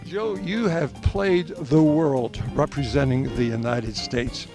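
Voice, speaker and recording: A man's voice: fairly high pitch, fairly even intonation, slow pace, music in the background. A man hosting a tennis show, asking upbeat questions at an outdoor tennis event. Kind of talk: on-location interviewer.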